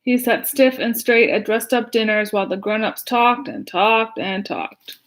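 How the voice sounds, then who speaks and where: A woman's voice: on the high side, animated, normal speed, a bit bright, a little hiss or hum. An American woman, a pastor leading a casual livestream storytime, chatting to children and asking them simple questions. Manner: chatty, asks young listeners simple questions.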